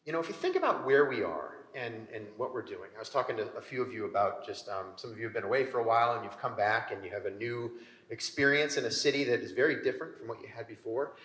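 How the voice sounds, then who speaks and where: A man with an American accent giving an informal public presentation, halting at times and repeating words for emphasis. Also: medium pitch, expressive, fairly bright tone. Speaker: city mayor presenting. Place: presentation hall before an audience. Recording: echoey hall, long reverb.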